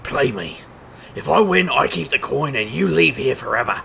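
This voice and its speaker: A cocky trickster detective played by a man in an audio drama, his voice heavy with gravel and edged bright. He works like a street magician, wry and theatrical, with showy patter over faint background noise. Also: medium pitch, expressive, moderate pace, exaggerated voice. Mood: cocky, taunting. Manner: showman's sing-song patter, wry and theatrical. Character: male street magician private investigator, cocky, wisecracking trickster. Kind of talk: scripted audio drama acting.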